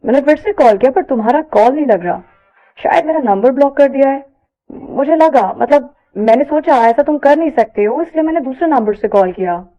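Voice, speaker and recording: A woman voicing a gentle, earnest young college man in an audio story, soft and a little hesitant. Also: high-pitched, lively intonation, brisk, lo-fi sound. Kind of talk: audio story character dialogue.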